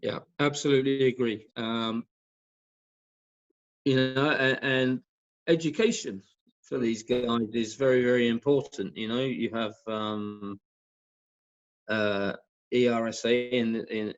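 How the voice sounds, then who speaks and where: A man speaking as an expert guest in an informal discussion on a video call, halting and repeating words for emphasis. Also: mid-range voice. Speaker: guest expert, professional badminton racket stringer. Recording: dull lo-fi video call audio.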